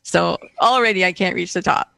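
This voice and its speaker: A woman from Austria with heavy vocal fry talks as a guest in a casual interview. She tells stories in an animated way and voices the quoted dialogue herself. The sound is dry, with very little room to it. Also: on the low side, moderate pace, dry recording. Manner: animated storyteller, acting out reported dialogue. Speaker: guest, painter and coach.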